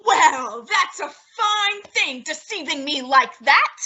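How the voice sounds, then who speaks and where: A woman playing an excitable, indignant young housewife in a radio sitcom, with theatrical comic delivery. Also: high-pitched, slow pace, exaggerated voice. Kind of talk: 1950s radio sitcom acting.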